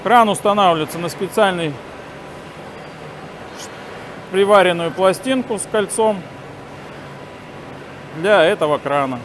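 A man in his forties giving a halting, step-by-step hands-on demonstration while he works. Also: sits high. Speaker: instructor demonstrating sawmill assembly. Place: large factory workshop hall, indoors. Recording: noisy industrial workshop ambience. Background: clear workshop noise.

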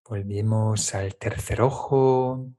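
A man leading a guided meditation, giving calm step-by-step instructions with small checking tags in a gentle manner. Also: lowish voice, takes it slowly. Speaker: meditation teacher.

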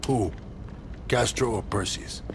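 An actor playing a burly, laconic male covert operative in a video game, talking in an unhurried way. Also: mid-range voice, creaky, noisy background. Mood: dry. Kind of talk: scripted video game cutscene acting.

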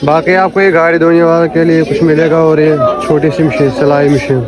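A man's voice: a little high, flat delivery, a little fast. Chatty young man in a noisy market. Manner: chatty, pointing out prices to viewers. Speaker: vlogger. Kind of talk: walking market tour vlog. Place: crowded outdoor street market. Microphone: handheld camera at arm's length. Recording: loud crowd noise around. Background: loud market crowd.

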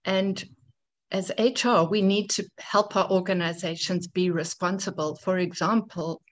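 A woman presenting her book chapter on a video call, earnest and measured, with frequent fillers. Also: on the low side. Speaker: book contributor on a panel.

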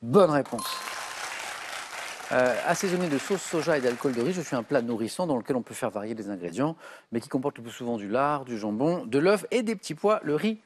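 A middle-aged man hosting a TV quiz show, reading clues briskly and giving quick verdicts. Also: mid-range voice, lively intonation, a little hiss or hum. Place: large TV studio set.

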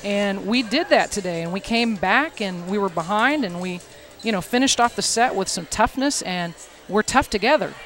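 A woman's voice: medium pitch, expressive, normal speed, fairly bright tone, faint music behind. A middle-aged woman volleyball coach speaking into a headset mic, earnest and emphatic. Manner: earnest, repeats words, emphatic. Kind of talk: postgame interview.

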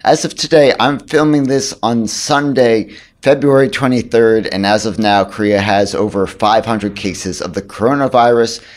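Hoarse male vlogger, 30s, low-ish voice, casual commentary with sarcastic quips. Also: normal speed. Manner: wry, sarcastic asides. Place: indoors in front of a brick wall.

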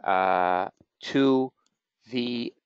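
A man with a Venezuelan Spanish accent leads a live online lesson, talking slowly and searching for words with frequent "uh"s. His voice sits at an ordinary pitch. The recording is dry and slightly dull, like a modest computer mic. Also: smooth, no echo. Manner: slow, careful, with many "uh" fillers. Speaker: genealogy expert hosting a webinar. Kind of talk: live webinar with screen-share walkthrough. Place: office in Israel.

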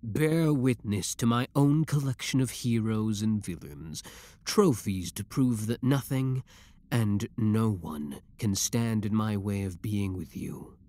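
Man acting a low-voiced obsessive young villain. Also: lively intonation, warm tone. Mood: possessive menace. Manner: deliberate, theatrical, possessive. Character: obsessive, menacing young male villain, coldly devoted yandere. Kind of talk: scripted ASMR roleplay, dramatic monologue.

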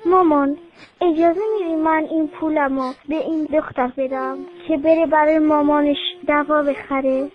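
A woman's voice: sits high, steady tone, lightly acted. A woman voicing a sweet, kind little girl who pleads and speaks earnestly. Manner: eager, pleading child voice. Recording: tinny phone-like sound.

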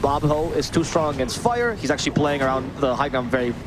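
A man's voice: mid-range voice, animated, brisk, noisy background. A man giving live play-by-play commentary on a video game match as an esports caster.

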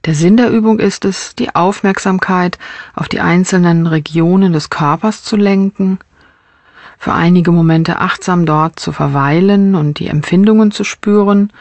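A woman narrating a guided body scan meditation as a mindfulness teacher, with measured, gentle instructional phrasing. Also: on the low side, dry recording.

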